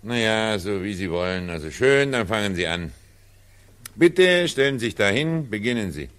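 An actor playing a pompous, evasive cabaret director in a 1940s radio drama, talking in a condescending, theatrical, patronizing way. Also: male voice, lowish voice, very animated, normal speed, a bit bright, clean tone. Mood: impatient, pompous.

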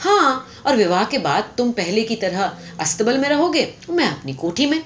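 A woman voicing an imperious, calculating young rich heiress in a story, firm and sharp as she dictates terms. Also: on the high side, sing-song, muffled recording, a little hiss or hum, voice pushed up. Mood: commanding.

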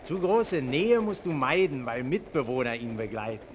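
A man's voice: medium pitch, sing-song, moderate pace, natural voice. An actor playing a jovial, boastful travelling salesman who gushes and declaims in an old radio play. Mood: declaiming. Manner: gushing, declamatory. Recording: noisy old 1940s radio recording.

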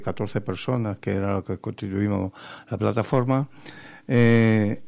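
A man from Spain, an activist guest on a radio interview, giving an earnest explanatory account with halting pauses. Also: lowish voice, vocal fry, a little mellow, no room sound. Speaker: interview guest, patients' association vice president and activist. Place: radio studio.

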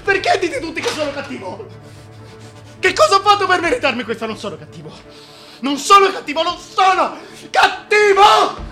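A man's voice: sing-song, normal speed, soft background music, heavily acted. An actor playing a vain, self-pitying tyrant king in a theatrical, declamatory radio drama monologue, volatile and contemptuous. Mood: desperate, protesting. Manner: declamatory, volatile, contemptuous, swinging from hushed to shrill.

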